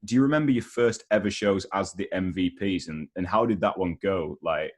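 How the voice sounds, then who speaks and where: Man, low voice, interview host, casual and slangy, matey, enthusiastic. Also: brisk.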